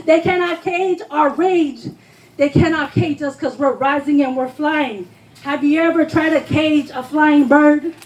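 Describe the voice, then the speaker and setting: High-voiced woman performing impassioned spoken word. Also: a little slow, rough recording. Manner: impassioned, declamatory, emphatic. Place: live poetry reading before a responsive audience.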